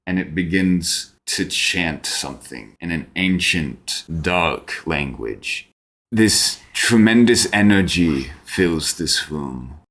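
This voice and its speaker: A man narrating a tabletop fantasy game as game master, deliberately and with dramatic pauses. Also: sits low, a bit bright.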